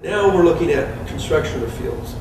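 Halting man in his fifties, distant and noisy. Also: a little high. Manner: halting, hesitant delivery. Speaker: sports turf manager. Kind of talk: informal technical explanation to camera. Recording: distant, roomy sound with clear background noise.

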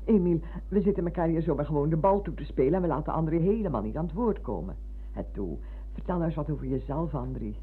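A woman's voice: sits low, lively intonation, fast talker, husky. An actress playing a talkative, insistent older former schoolmistress with a motherly way, in a radio drama. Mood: eager, motherly. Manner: effusive, insistent, hesitating. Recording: muffled, hissy old radio recording.

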